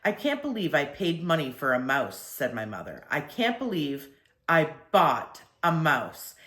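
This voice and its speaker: An older woman reading as an exasperated, no-nonsense storybook mother. The voice is low and swings emphatically as she grumbles, in an echoey room. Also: very animated, measured pace, a bit bright, heavily acted.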